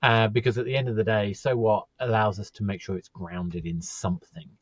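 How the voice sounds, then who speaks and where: A man's voice: fairly low pitch, fairly even intonation, fairly quick, dry recording, lo-fi sound. A man hosting a podcast, chatting casually with guests and laughing easily. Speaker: podcast host.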